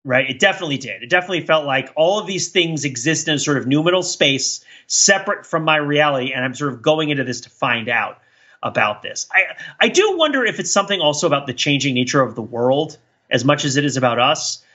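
Bright-voiced man rambling on a podcast. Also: fairly high pitch, lively intonation, dull audio. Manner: rambling, halting, repeats words while thinking aloud. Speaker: podcast co-host. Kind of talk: casual reflective podcast discussion.

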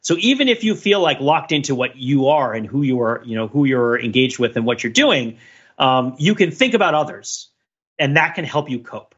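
A man's voice: mid-range voice, expressive, normal speed, a bit bright, breathy edge, dull audio. A man co-hosting a podcast, talking through ideas in a casual, discursive, intellectual way. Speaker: podcast co-host. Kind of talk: casual analytical discussion of a TV show.

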